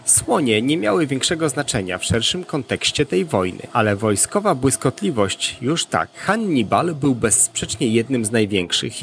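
A man's voice: mid-range voice, vocal fry, fairly bright tone, a little hiss or hum. A man hosting an educational podcast, reading scripted narration.

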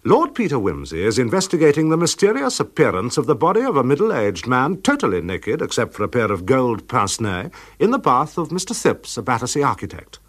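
A man's voice: medium pitch, huge pitch swings, a little fast, few pauses. A man with an English accent reading scripted narration as the announcer of a radio drama.

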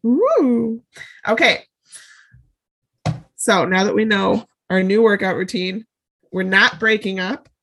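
A woman's voice: medium pitch, expressive, slow, pauses often, a bit bright. A young adult woman co-hosting a podcast, nasal and congested from a cold, bubbly and laughing often. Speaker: podcast co-host. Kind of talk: casual chat.

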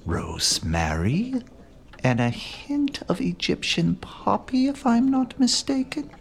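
Pompous detective with wildly swooping delivery. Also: male voice, high-pitched, wildly swooping pitch, gravelly, full character voice. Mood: confident, grandiose. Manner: theatrical, haughty, deliberate, given to hushed musing. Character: pompous, theatrical male master detective, aloof and self-assured. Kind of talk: comic period murder-mystery audio drama acting.

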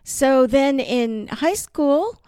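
A middle-aged woman from Colombia, Spanish her first language, telling her life story as a podcast guest in an earnest, animated way. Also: sits high, very slow, no room sound, clean studio sound. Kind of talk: personal testimony in an interview.

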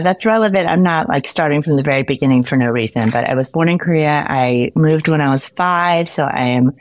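A woman's voice: low-pitched, no echo. A woman in her fifties who grew up in the US, a podcast guest telling her story in a candid, chatty way and laughing often. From United States. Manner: candid, laughs often, talks in quick bursts. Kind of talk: casual podcast conversation.

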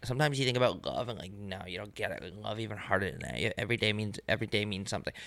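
A man's voice: on the low side, lively intonation, very fast, creaky, a bit bright, no echo, clean studio sound. A young man co-hosting a podcast with crude, joking banter and a slightly congested voice. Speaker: podcast co-host. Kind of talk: casual chat.

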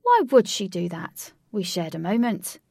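A woman's voice: mid-range voice, huge pitch swings, measured pace, vocal fry, warm tone, no room sound, clean studio sound, distant mic, full character voice. A woman voicing an eager, naive, lovestruck young man who is easily bewildered, in a story. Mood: unsure.

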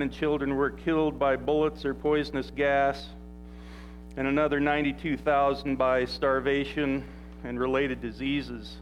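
A man's voice: a little high, poor audio. A man preaching an informal sermon in a church, talking in a loose, rambling way with plenty of fillers and restarts. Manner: says he has a loud voice. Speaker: pastor.

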